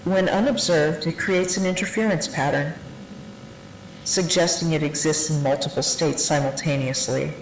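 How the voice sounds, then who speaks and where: A narrator playing a research division instructor who delivers a classified briefing in a formal, deliberate, matter-of-fact way, as in an analog horror training tape. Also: mid-range voice, lightly acted. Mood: formal, detached. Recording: roomy, noisy 1990s VHS-style tape sound.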